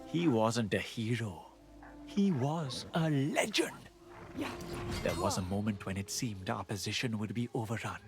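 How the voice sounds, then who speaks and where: An actor playing a weary, loyal soldier in a video game, speaking in a grave, deliberate manner. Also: male voice, mid-range voice. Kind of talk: dramatic video game acting.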